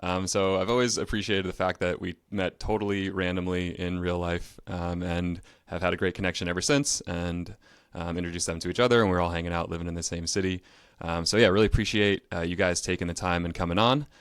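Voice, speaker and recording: A man's voice: low voice, fairly even intonation, fairly quick, smooth, dry recording, studio quality. A man hosting a casual podcast, speaking in a conversational, discursive way. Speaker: podcast host.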